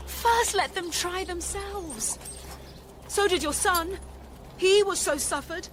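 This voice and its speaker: An actress playing a bitter, grieving young widow in a Shakespearean radio drama, impassioned and reproachful. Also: female voice, very high voice, background noise. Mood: grieving, urgent. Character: bitter, grieving young widow pleading with her father-in-law.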